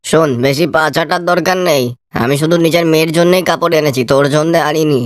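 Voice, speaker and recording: Cartoon father, played by a woman: low, dry-recorded, harsh and accusing. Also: fairly even intonation, fast, no echo, natural voice. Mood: scornful, angry. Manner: accusing, contemptuous. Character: harsh, scornful village father rejecting his dark-skinned daughter. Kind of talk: Bengali moral-story cartoon voice acting.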